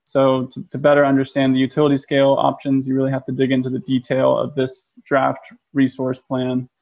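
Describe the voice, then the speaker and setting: An American man, an energy consultant, giving a webinar presentation in a measured way with frequent ums and restarts. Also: mid-range voice, gravelly, darkish tone. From United States. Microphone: video call. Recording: muffled lo-fi web call audio.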